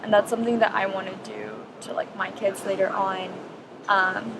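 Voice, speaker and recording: Young woman, korean was her first language, thoughtful and measured, noisy background. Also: medium pitch, level tone, creaky. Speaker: participant.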